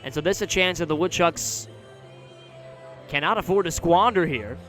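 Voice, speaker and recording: Bright, forceful American baseball announcer, male. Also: a little high, faint music behind. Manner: measured description, excited on big plays. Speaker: baseball radio play-by-play announcer. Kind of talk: live sports commentary. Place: outdoor ballpark broadcast position.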